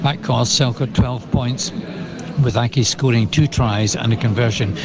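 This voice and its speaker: A man giving a scripted rugby match report as a reporter from an outdoor ground. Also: mid-range voice.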